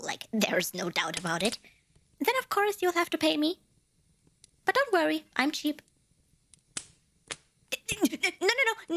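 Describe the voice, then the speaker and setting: A woman's voice: high voice, a bit bright, a little hiss or hum, full character voice. A woman playing a tiny, proud and easily flustered female demon in an ASMR roleplay, boastful and indignant, often hedging and correcting herself. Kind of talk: ASMR roleplay addressed to the listener.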